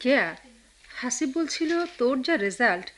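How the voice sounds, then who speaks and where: High, animated, fussing Bangladeshi woman. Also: moderate pace, a little hiss or hum. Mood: worried, chiding. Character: fussing, protective older-sister figure, a married woman. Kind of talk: Bengali radio drama acting.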